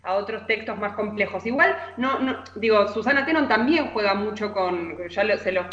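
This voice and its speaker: A woman's voice: medium pitch, animated, moderate pace, a crowd in the background. A woman with an Argentine accent giving conversational literary commentary as a columnist, explaining hesitantly and often checking in with short tag questions. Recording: echoey, muffled remote connection.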